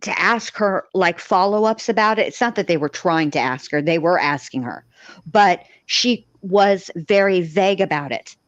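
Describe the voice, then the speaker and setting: Echoey, low-pitched woman, emphatic talker. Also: normal speed. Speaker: livestream panelist. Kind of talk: casual true-crime discussion. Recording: dull, echoey livestream audio.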